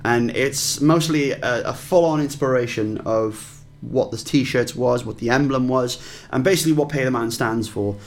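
A young man with a Welsh accent chats at a medium pitch, wandering through his story with plenty of ums and false starts. Soft music plays faintly behind him. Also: normal speed, hardly any pauses. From Wales. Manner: rambling, with many fillers and restarts. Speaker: guest musician. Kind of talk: casual interview chat.

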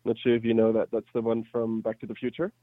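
A man's voice: on the low side, monotone, fast talker, no echo. German-accented man, thin phone-like sound. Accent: slight German. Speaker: interview guest, startup entrepreneur. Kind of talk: casual conversational storytelling.

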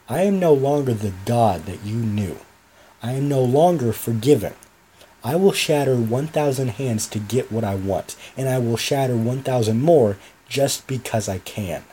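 A man voicing a god-like wrestling villain who speaks slowly and threateningly. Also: warm tone, a little hiss or hum, natural voice, low-quality recording. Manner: slow, low, threatening delivery.

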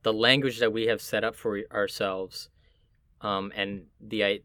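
A low-voiced man talks in a casual, reflective conversation, recorded close and dry. He halts often, searching for the right words as he thinks a point through. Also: little pitch movement, unhurried, bright, forceful tone, dry recording. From Puerto Rican family, Miami.

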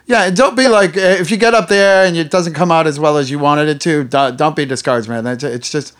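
A man's voice: on the high side, fairly quick, fairly bright tone. Laid-back man in his thirties. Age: 30s. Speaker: host, band member. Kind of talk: casual chat.

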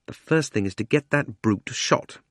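A man voicing a pompous, greedy elderly magician in his sixties, blustering and gloating with shrill exclamations. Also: animated, moderate pace, a bit bright, dry recording, studio quality, lightly acted. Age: 60s.

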